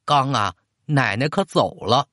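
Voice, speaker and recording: A man voicing an elderly grandmother, secretive and doting, gently scolding in a folksy storytelling style. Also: medium pitch, lively intonation, unhurried, no echo, studio quality. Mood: scolding, anxious. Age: older.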